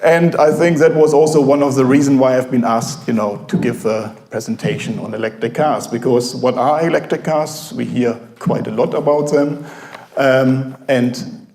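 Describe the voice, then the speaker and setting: A German-accented professor giving a halting, informal talk to a café audience, full of fillers. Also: male voice, mid-range voice, a little mellow, roomy sound. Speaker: guest speaker, professor.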